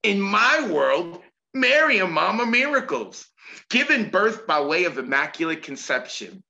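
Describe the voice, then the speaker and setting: A man performing spoken-word poetry over a video call, with a rhythmic, dramatic delivery that swings in pitch and volume. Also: big pitch swings, a little slow, vocal fry, pushed and bright, lo-fi sound. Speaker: performance poet.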